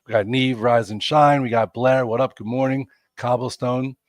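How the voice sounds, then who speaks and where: A man's voice: medium pitch, fairly even intonation, normal speed, no echo, studio quality. A man, congested and losing his voice, hosting a chatty, rambling live stock market show with lots of fillers. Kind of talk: casual live market commentary.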